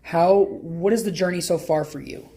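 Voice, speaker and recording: A man's voice: on the high side, moderate pace, mellow. A man hosting a podcast interview, talking conversationally and repeating phrases for emphasis as he makes points and asks questions.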